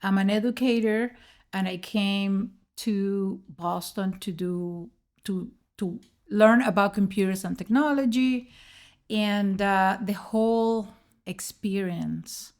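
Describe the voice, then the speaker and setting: Middle-aged Venezuelan woman with creaky voice. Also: mid-range voice, slow, a little mellow. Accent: Venezuelan Spanish. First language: Spanish. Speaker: podcast guest, educator. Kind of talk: personal storytelling in an interview.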